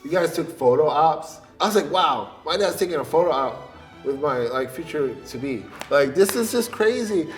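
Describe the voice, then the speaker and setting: Man, fairly high voice, chatty and loose, light music behind. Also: animated, moderate pace. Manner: chatty, lots of "like". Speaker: partner in a couples question game. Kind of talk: casual storytelling.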